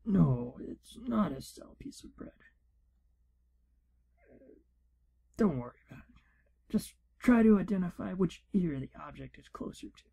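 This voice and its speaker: A man playing a pompous, evasive wizard proctor in an ASMR fantasy role play, speaking in a hushed, breathy half-whisper. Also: high voice, expressive, unhurried, long pauses, heavily acted. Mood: condescending. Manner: pompous, wry, evasive, theatrical storytelling. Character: pompous, shifty male wizard proctor giving an exam.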